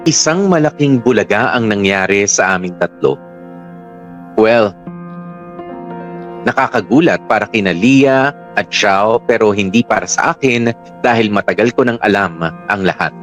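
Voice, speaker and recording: A man narrates a romantic radio drama in a low-pitched voice. He tells the story in the first person, with soft music running underneath. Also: animated, unhurried, long pauses.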